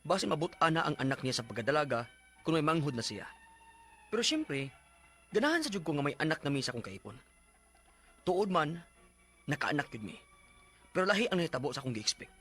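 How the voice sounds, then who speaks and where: A man's voice: on the high side, sing-song, a little fast, pauses often, a bit bright, faint music behind. A man acting an exasperated, short-tempered young father in a radio drama, with a Cebuano Filipino accent. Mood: aggrieved.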